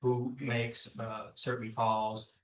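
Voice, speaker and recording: An American man speaking as a prosecutor in court, questioning and arguing formally in a halting way with repeated false starts. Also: mid-range voice, little pitch movement, slight background noise. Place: courtroom during a hearing.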